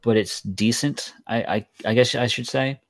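A man's voice: medium pitch, moderate pace, no room sound. A man co-hosting a gaming podcast, chatting casually and hesitantly with frequent fillers. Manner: relaxed, hesitant, lots of ums. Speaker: podcast co-host.

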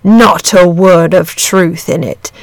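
Lady doing a lazy friendly storybook dragon guy, chatty n polite, kinda creaky, dry close sound. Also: medium pitch, animated, normal speed, no echo. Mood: placid, dignified. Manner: chatty, rambling, genteel, politely earnest. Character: amiable, lazy, bashful, poetry-loving male dragon. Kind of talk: storybook character reading.

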